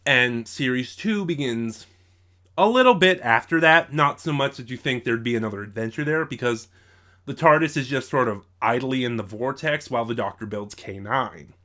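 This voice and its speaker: A man's voice: medium pitch, moderate pace, pushed and bright. A man reviewing audio dramas in unscripted commentary, thinking aloud and often rephrasing himself mid-sentence.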